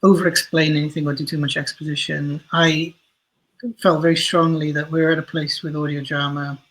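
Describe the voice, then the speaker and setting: A man with a slightly high, husky voice speaks as a guest in a reflective interview. His intonation stays fairly even, and he feels his way through thoughts, often correcting his wording. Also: a little fast, poor audio. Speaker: interview guest, audio drama writer and creator.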